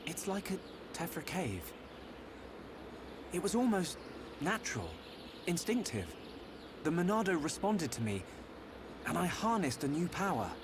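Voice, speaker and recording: A man's voice: high voice, very animated, measured pace, long pauses, noisy background, full character voice. A man playing an earnest, determined young hero in a video game, with a British accent. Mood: reflective. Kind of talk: dramatic video game cutscene acting. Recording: loud music behind the dialogue.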